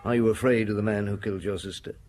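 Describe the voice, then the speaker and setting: An actor playing a shrewd, unhurried middle-aged male police inspector in a radio drama, questioning in a measured, probing way. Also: fairly low pitch, fairly even intonation, a little mellow, slight background noise, lightly acted.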